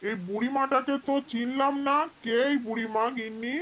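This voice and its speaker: A woman's voice: a little high, fairly even intonation, moderate pace, a bit bright, close to an everyday voice. A woman voicing a worried, kindly male farmer in a cartoon folk tale, speaking earnestly. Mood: dejected. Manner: earnest, fretful.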